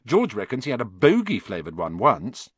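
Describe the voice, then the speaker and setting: A man's voice: medium pitch, huge pitch swings, moderate pace, breathy edge, no echo. A man voicing a blunt, cheeky young schoolboy with an offhand way of talking.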